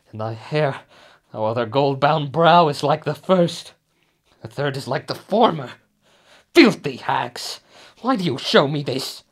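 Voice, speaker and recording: A desperate, ruthless king, played by an actor, declaiming intensely in classical radio-play style. His delivery is slow, with a very animated pitch that rises and plunges. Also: husky, exaggerated voice. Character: tormented, commanding warrior king, desperate and ruthless. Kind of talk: Shakespearean radio drama acting.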